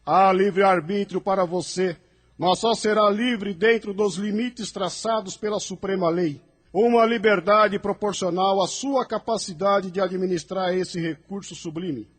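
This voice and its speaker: A man's voice: high-pitched, unhurried. A man playing a solemn, authoritative angelic spirit in an audio drama, speaking in formal, commanding declamation. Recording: long cavernous reverb.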